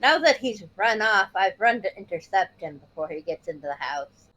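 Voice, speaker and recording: A woman's voice: mid-range voice, expressive, normal speed, fairly bright tone, a little hiss or hum, low-quality recording. A woman player describing her character's actions in first person during a tabletop role-playing game.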